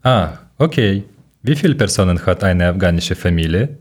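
A man's voice: low voice, lively intonation, moderate pace. A man from Ukraine hosting a casual podcast in learner German, leading the talk and asking questions with some hesitation. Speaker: podcast host.

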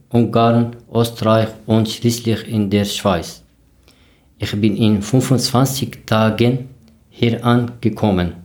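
A man in his thirties from Syria, a podcast guest, speaking carefully and deliberately with some fillers. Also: on the low side, a little mellow. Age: 30s. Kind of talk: casual podcast interview.

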